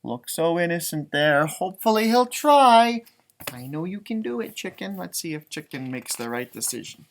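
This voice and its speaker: A man hosting a children's storybook read-aloud, expressive and playful, adding chatty asides and wondering aloud. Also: fairly high pitch, normal speed. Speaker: storytime read-aloud host, music teacher.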